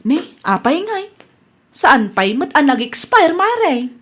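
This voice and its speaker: An actress with an Ilocano accent playing a thrifty, trusting village wife in a radio comedy drama. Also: female voice, high voice, very animated, slow, fairly bright tone, exaggerated voice. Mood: dismayed.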